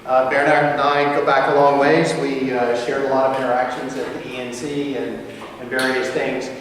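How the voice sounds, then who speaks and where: A man's voice: mid-range voice, little pitch movement, moderate pace, a little hiss or hum. An older man giving a friendly, rambling academic lecture with many fillers and restarts. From United States. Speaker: guest lecturer. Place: large lecture hall. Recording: echoey hall from far off.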